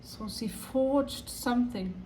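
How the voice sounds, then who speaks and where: Slow-paced Norwegian woman reading tarot. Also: on the high side, darkish tone, slight background noise. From Norway. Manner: halting, pausing, repeats phrases. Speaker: tarot reader.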